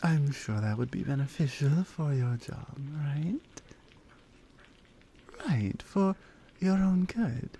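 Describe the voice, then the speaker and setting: A woman voicing a strong, flirty lioness blacksmith in a roleplay ASMR audio, coaxing and gently teasing, yet considerate. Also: sits low, lively intonation, takes it slowly, pauses often, a little hiss or hum, voice pushed down, full character voice.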